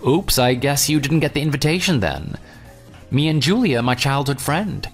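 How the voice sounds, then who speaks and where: Guy playing jilted ex in an audio drama, sarcastic and dismissive, normal mid male pitch, soft music behind. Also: very animated, moderate pace, breathy edge. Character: jilted ex-fiance, sarcastic, unyielding, self-assured. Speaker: narrator and lead character. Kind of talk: audio drama dialogue and first-person narration. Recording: music bed under the voice. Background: background music.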